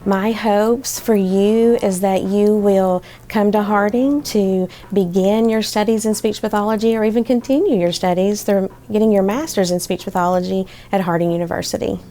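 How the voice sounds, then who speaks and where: A woman's voice: medium pitch, steady tone, normal speed, hardly any pauses, mellow. A woman introducing herself as a speech pathology professor in an earnest, heartfelt way. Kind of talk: scripted self-introduction.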